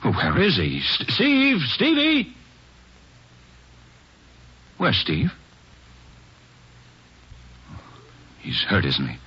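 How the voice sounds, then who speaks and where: A man's voice: medium pitch, huge pitch swings, pushed and bright, slightly rough, heavily acted. An actor playing a middle-aged father breaking down over his dead son, stammering and sobbing in 1940s radio acting style. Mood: frantic. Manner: stammering, pleading, halting. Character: grief-stricken father whose young son is dead. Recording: dull old radio broadcast.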